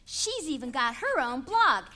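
A woman's voice: high voice, very animated, unhurried, very noisy. A woman playing a moody teenage daughter in an audio comedy, by turns petulant and gushing. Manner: petulant, gushing teen speech. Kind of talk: comedic audio theater acting.

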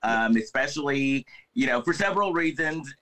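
A man's voice: medium pitch, animated, normal speed, sharp tone. A man co-hosting a podcast in casual chat, rambling with many restarts and fillers. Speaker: podcast co-host.

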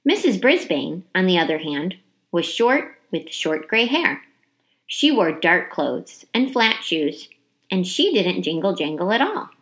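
A woman reading a children's chapter book aloud as expressive storybook narration, with dramatic emphasis on key words. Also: fairly low pitch, unhurried.

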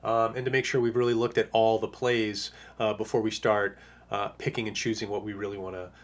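A man with a hoarse voice he is losing, talking casually as a fantasy football analyst, rambling with lots of fillers. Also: on the low side, level tone, fairly quick. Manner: rambling, lots of uh and you know. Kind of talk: casual podcast monologue.

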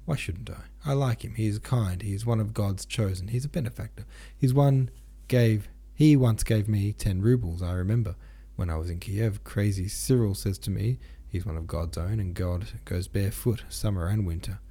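A garrulous old pilgrim woman from a storybook, voiced by a man, rambling on with earnest piety. The voice is creaky and a little husky, and she is quick to admonish and easily shocked. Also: on the low side, warm tone. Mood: eager, garrulous. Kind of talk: audiobook character reading.

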